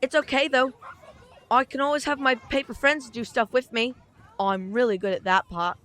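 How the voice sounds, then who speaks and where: A woman's voice: sits high, fairly even intonation, moderate pace, a bit bright. A woman voicing a chatty, cheeky little British boy in an audio drama, with a frank, rambling, childlike manner. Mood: earnest, shy. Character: chatty, cheeky, earnest little boy who loves comics.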